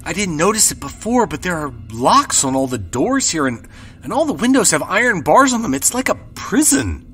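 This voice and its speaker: A man's voice: high-pitched, sing-song, normal speed, pushed and bright, soft background music, heavily acted. An actor playing a frightened young man trapped in a vampire's mansion, talking to himself anxiously and stammering. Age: young adult. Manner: anxious, stammering inner monologue.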